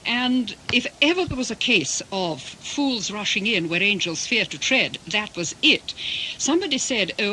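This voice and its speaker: An older woman, telling her story in a measured, articulate radio interview. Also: medium pitch, pushed and bright, a little hiss or hum, poor audio. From Britain. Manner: measured, articulate, with careful restarts.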